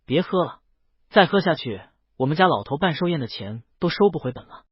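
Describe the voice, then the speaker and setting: A man's voice: on the high side, lively intonation, a little fast, breathy edge, no room sound, muffled recording. A man reading the dialogue of an exasperated yet caring, easily flustered man in an audiobook. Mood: wry concern.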